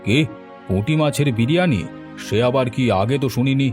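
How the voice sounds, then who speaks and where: A man's voice: medium pitch, brisk, a little mellow, soft background music, natural voice. Man voicing a questioning, kindly village headman. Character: inquisitive, teasing village headman, kindly elder. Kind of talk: cartoon voice acting.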